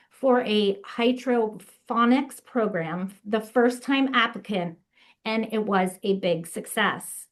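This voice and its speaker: Slow, fairly high-voiced woman presenter. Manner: slow, reading from prepared notes with fillers. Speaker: association staff presenter. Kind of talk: webinar presentation. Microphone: video call.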